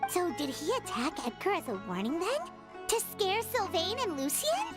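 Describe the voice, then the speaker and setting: A tiny, excitable game sidekick girl with an extremely high, squeaky voice. The pitch swoops wildly, full of childlike curiosity, over loud music. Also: normal speed. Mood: curious, worried. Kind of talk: video game voice acting.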